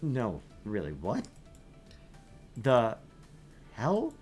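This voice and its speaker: Animated man reading a game aloud. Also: medium pitch, very slow, soft background music. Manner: lively, animated reading with asides. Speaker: let's play host. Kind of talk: reading a visual novel aloud with commentary.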